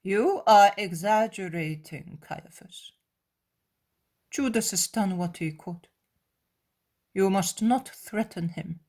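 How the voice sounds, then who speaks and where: A cold, snake-like priest murmurs low and level, each word soft and measured, as a woman puts him on. He never raises his voice. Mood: icy. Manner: icy calm, soft.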